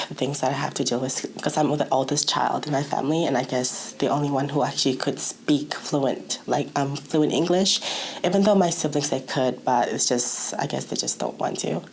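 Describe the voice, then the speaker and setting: Low-pitched young woman, hesitant speaker. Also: darkish tone, slight background noise, rough recording. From Malaysia. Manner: hesitant, searching for words. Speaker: youth programme participant. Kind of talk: casual personal testimony.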